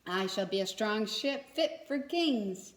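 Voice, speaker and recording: A woman plays a storybook tree full of big dreams, proud and wistful. The voice is earnest and declamatory, at a medium pitch, in an echoey room. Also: expressive, slow.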